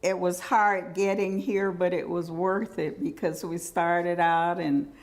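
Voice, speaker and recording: A woman from Georgia slowly recalling moving north with her young family. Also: lowish voice, little pitch movement. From Georgia, US South. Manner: slow, reflective storytelling. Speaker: oral history interviewee. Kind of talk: personal recollection.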